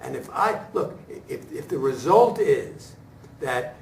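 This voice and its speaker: A man's voice: medium pitch, very animated, unhurried, creaky, darkish tone. A man speaking informally as a performer taking feedback in a discussion. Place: indoor room at a post-reading talkback. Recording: distant roomy recording with faint noise.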